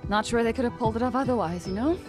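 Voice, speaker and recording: A woman's voice: a little high, racing, over music, close to an everyday voice. A woman playing a sullen, flippant young accomplice in an English-dubbed crime game, with a dismissive, reluctant manner. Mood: smug, flippant.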